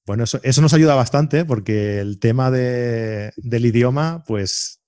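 A man hosts a podcast in a mid-pitched voice, joking and laughing between hesitant fillers. The recording is dry and close. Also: unhurried, a little mellow, dry recording. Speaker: podcast host. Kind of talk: casual interview chat.

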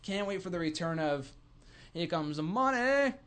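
A man's voice: high voice, animated, moderate pace, a bit bright, smooth. A man in his early twenties co-hosting a wrestling podcast, chatting casually and enthusiastically and repeating phrases for emphasis. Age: early 20s. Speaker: co-host of a pro wrestling fan podcast. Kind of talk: casual sports fan chat.

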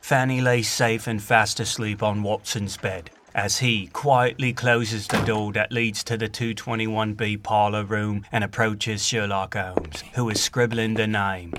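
A man narrating a scripted audio drama in a measured storytelling manner. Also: fairly low pitch, fairly even intonation, noisy background.

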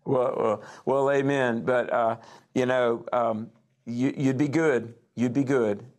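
A man's voice: mid-range voice, fairly even intonation, slow, a little mellow. A man hosting a call-in talk show, chatting with his guest and taking calls. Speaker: host.

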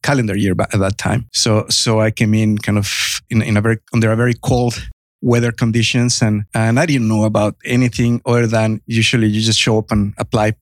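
Gravelly Mexican-accented man in his fifties. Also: lowish voice, level tone, a little fast, no echo, clean studio sound. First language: Spanish. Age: 50s. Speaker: interview guest, entrepreneur. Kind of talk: casual interview storytelling.

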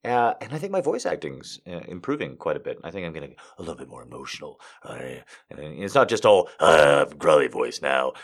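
A man's voice: extremely low pitch, fairly bright tone. A man, a voice actor, answering viewer questions in a rambling, self-deprecating way. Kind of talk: casual chat.

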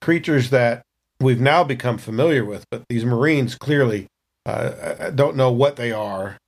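A man tells the scene of a tabletop role-playing game. His voice sits at an average male pitch, and the delivery is casual and improvised, like storytelling among players. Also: unhurried.

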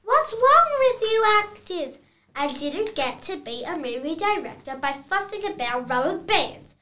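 Bossy little film director, played by a child, high bright voice, echoey room. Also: sing-song, a little slow, heavily acted. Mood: exasperated. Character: bossy, impatient movie director of a low-budget western. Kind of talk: children's read-aloud play.

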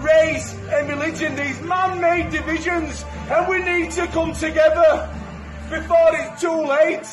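A British man delivering a fiery, profane, shouted rant as a protester. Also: very high-pitched, fairly even intonation, measured pace, reverberant, over music. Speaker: protester in a played clip.

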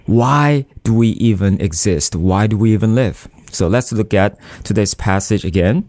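Male pastor, lowish voice, deliberate sermon with restarts. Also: a little mellow, dry recording. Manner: slow, deliberate preaching with repeated phrases and restarts.

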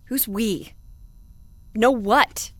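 A woman playing a brisk, skeptical space commander who takes calls in an audio drama, with crisp, questioning, formal delivery. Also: on the high side, fairly bright tone, dry recording, full character voice. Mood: wary. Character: brisk, skeptical female space commander hosting call-in archive.

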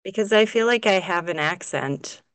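A woman's voice: mid-range voice, animated, a bit bright. A woman chatting as a podcast guest, with dry remarks and frequent laughter. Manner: slow, dry, laughs often. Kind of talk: casual chat.

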